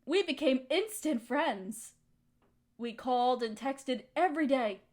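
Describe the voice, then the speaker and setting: Actress for bitter, hurt young woman, high-pitched, emotional audio drama monologue, confrontational and accusing. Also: animated, measured pace. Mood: bitter, reminiscing. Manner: emotional, accusatory, pointed rhetorical questions. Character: hurt, resentful young woman confronting her longtime roommate.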